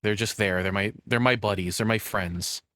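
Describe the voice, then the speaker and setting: Male streamer, lowish voice, loose and enthusiastic reactions, close dry recording. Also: steady tone, moderate pace, bright, forceful tone, no room sound. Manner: enthusiastic, informal, swearing. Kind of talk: casual spontaneous reaction commentary.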